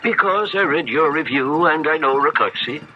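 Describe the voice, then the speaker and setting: Actor as a grave occult professor, very animated intonation. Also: male voice, fairly high pitch, noisy background, close to an everyday voice. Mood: welcoming, grave. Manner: deliberate, slightly hesitant, learned. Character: scholarly male occult professor, grave and inquisitive. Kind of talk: 1970s radio drama acting. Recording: dull, muffled 1970s radio broadcast.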